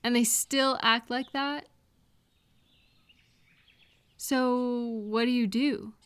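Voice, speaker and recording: A woman acting a caring, cheerful friend in a scripted roleplay audio, talking gently and attentively to the listener. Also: fairly high pitch, steady tone, clear, resonant tone. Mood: gentle, concerned. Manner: gentle, unhurried, attentive questioning with pauses for replies.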